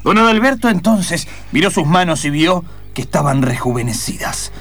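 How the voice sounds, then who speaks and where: A man's voice: fairly high pitch, animated, moderate pace, a bit bright, soft background music. A man narrating an improvised radio play in a dramatic, suspenseful storytelling manner.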